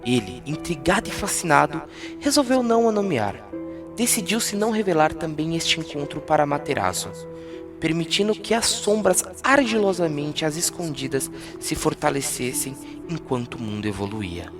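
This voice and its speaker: A man's voice: on the high side, animated, moderate pace. A man with a Brazilian accent gives a scripted, dramatic narration of a fantasy myth in a solemn storytelling manner. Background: music.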